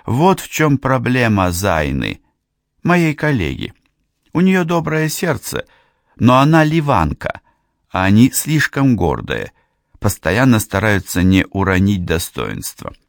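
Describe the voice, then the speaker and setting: A man's voice: on the low side, sing-song, a little slow, vocal fry, no echo, studio quality, pitched above the performer's own voice, heavily acted. A man voicing a chatty middle-aged woman hairdresser. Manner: chatty, earnest, confiding. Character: friendly middle-aged female hairdresser from Ghana. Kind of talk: audiobook character voice.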